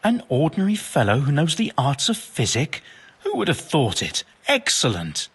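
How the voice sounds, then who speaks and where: An actor playing a learned, pompous but well-meaning and absent-minded monk physician in a video game, speaking in wordy, formal phrases. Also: male voice, on the high side, huge pitch swings, moderate pace, fairly bright tone, slightly rough, full character voice. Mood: bemused, earnest. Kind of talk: video game cutscene acting.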